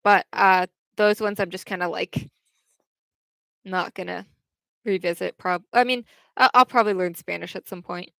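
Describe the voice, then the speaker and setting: Young woman, casual livestream chat, rambling with restarts, smooth clear voice, dry close sound. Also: fairly low pitch, steady tone, moderate pace, long pauses, fairly bright tone, no room sound. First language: English.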